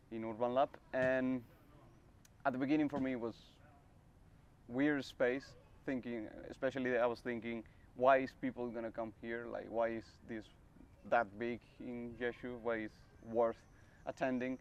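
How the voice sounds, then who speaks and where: A man's voice: mid-range voice, flat delivery, moderate pace, dull audio, a little hiss or hum. A man in his twenties from Spain, a volunteer, speaking hesitantly while searching for words. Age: 20s.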